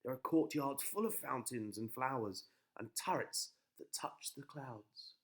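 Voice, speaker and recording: A man's voice: mid-range voice, a little slow. A man voicing a boastful, cheeky young prince in a bedtime story, dramatic and exclamatory.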